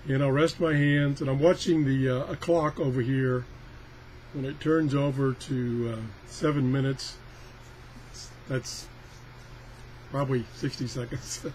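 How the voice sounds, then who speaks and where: A man's voice: medium pitch, steady tone, measured pace, pauses often, a little mellow, slight background noise. A man talking casually through a hands-on product test, rambling along in a folksy, self-deprecating way. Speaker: product tester presenting a radio review. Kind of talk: casual hands-on demo chat.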